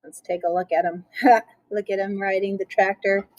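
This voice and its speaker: A woman reading a picture book aloud for children in a playful, expressive way, with chatty asides. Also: medium pitch, moderate pace, dry recording, dull audio.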